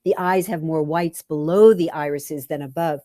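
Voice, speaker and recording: Expressive woman storyteller, low voice, dry sound. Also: moderate pace, no echo. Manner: theatrical, expressive, with whispered phrases. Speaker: author reading own memoir story. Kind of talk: dramatic first-person story reading.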